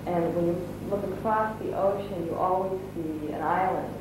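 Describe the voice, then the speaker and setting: Woman, low voice, reflective, hesitant, distant mic, loud background noise. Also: moderate pace. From Canada, West Coast. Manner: thoughtful, searching, many fillers and restarts. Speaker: artist being interviewed. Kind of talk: reflective interview talk. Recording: echoey room, distant mic, noisy.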